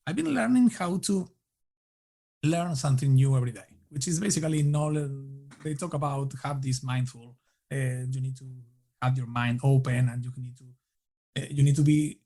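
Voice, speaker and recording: Argentine man, halting, higher-pitched voice. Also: a little mellow. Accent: Argentine Spanish. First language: Spanish. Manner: halting, many restarts and fillers, laughs lightly. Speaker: guest. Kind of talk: casual interview answers.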